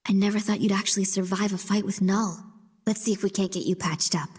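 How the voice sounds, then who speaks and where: A woman as a husky, coaxing young spy. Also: medium pitch, steady tone, brisk, a little mellow, natural voice. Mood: concerned, secretive. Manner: coy, casual, coaxing. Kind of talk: video game cutscene acting.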